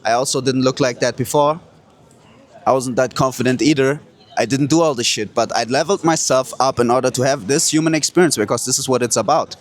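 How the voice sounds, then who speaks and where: A man's voice: medium pitch, fairly quick. Brash, swaggering man hypnotist. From Kurdistan. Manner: boastful, profane street slang. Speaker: hypnotist and host. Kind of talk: brash motivational talk to camera.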